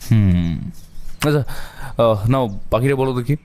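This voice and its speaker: A man playing a composed detective who questions a client in short, measured prompts in an audio drama. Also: medium pitch, animated, dark tone, natural voice. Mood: calm, attentive. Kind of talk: amateur audio drama acting. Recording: clear music behind voice.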